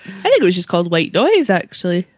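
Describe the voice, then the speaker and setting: A woman's voice: fairly low pitch, huge pitch swings, fast talker, no room sound, clean studio sound. A female radio host in casual banter, sounding congested and nasal from hay fever, rambling and laughing. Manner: rambling, laughing, self-interrupting.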